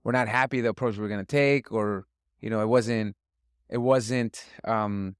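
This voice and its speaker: Low, smooth-voiced man, earnest and halting. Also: steady tone, dry recording, clean studio sound. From Northern California. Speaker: chef and restaurant guest. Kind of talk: casual interview conversation.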